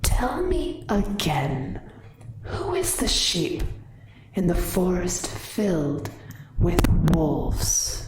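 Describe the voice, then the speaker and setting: A woman voicing a fierce, proud kitsune woman who speaks in slow, deliberate threats, in a storytelling recording. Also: fairly low pitch, sing-song, heavily acted. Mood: menacing. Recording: roomy echo, distant mic, faint noise.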